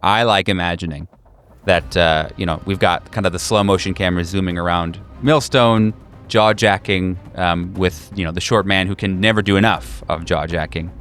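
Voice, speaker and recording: A man narrating as game master in a casual, halting, improvised style for a tabletop horror game. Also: sits low, fairly bright tone.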